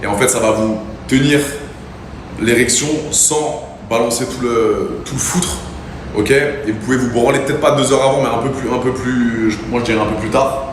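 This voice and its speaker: A man's voice: mid-range voice, steady tone, normal speed. Brash streamer man in an echoey room. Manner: brash, teasing, addresses chat directly. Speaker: livestream host. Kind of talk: casual livestream banter. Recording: very echoey room, faint ambient noise.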